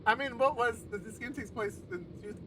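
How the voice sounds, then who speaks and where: A man's voice: very high-pitched, normal speed, pushed and bright. A man reading a visual novel aloud on a livestream and adding casual, sweary commentary. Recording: noisy livestream with clear background noise.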